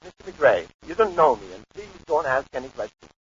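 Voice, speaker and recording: A furtive anonymous caller in an old radio drama. He talks fast in a fairly high voice, evasive and insistent. The recording is lo-fi and hissy. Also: sing-song. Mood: furtive, urgent. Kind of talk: 1950s radio serial acting.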